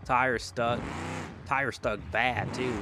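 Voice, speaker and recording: A man's voice: medium pitch, fairly even intonation, slow pace, background noise. A man hosting a gaming video with casual, rambling commentary, often repeating himself for emphasis. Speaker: video game vlogger, host.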